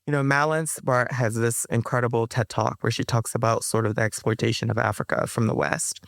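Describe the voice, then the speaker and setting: A woman who is a poet and interview guest, talking in an emphatic, expressive way. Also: rumbling low, fairly quick, creaky, no room sound, clean studio sound. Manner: emphatic, laughs often while talking. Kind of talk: conversational interview, reciting own poem.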